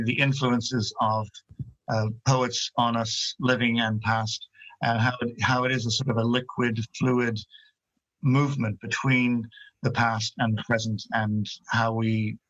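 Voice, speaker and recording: A man's voice: mid-range voice, little pitch movement, unhurried, fairly bright tone. A male host putting long, circling questions to poets at a reading event. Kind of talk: moderating a poetry event. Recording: muffled lo-fi call audio.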